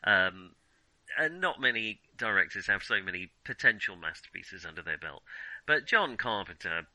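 Guy chatting on a movie podcast, really sharp forceful voice, dry sound, emphatic opinions, stops n starts. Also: low voice, animated, normal speed, no room sound, poor audio. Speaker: film podcast co-host. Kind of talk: casual film discussion.

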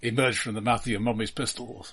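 A man co-hosting a casual film discussion, analytical, halting and repeating words as he forms each point. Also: medium pitch, flat delivery, crowd noise. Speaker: film podcast co-host.

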